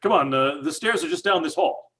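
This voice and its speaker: Husky-voiced skeptical dad played by a man, brash and dismissive, for an old-time radio play. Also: a little high, lively intonation, fairly quick, fairly bright tone. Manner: brash, dismissive, stammering fillers. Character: blustering skeptical father, middle-aged house owner.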